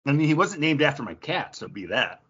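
A man's voice: fairly high pitch, very animated, brisk, dull audio, a crowd in the background. A man co-hosting a podcast, chatting casually as he reasons out quiz answers. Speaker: podcast co-host, quiz contestant.

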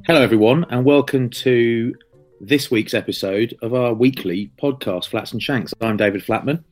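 A man's voice: mid-range voice, normal speed, soft background music. A man hosting a podcast, chatting casually and teasing his co-host in playful banter. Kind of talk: casual chat.

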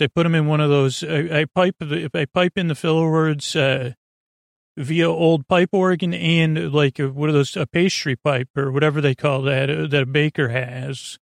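A podcast host with a fairly high, very creaky male voice, recorded close and dry. He wanders through a bedtime monologue, piling up filler words and tangents. His manner is goofy and self-deprecating, never quite getting to the point. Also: no echo. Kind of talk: rambling bedtime monologue to help listeners sleep.

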